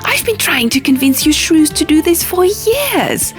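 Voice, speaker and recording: A woman's voice: sits high, sing-song, measured pace, voice pushed up. A woman voicing a brash, gleeful young rebel in an audiobook, with a boisterous, teasing manner. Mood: gleeful, goading. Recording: music under the reading.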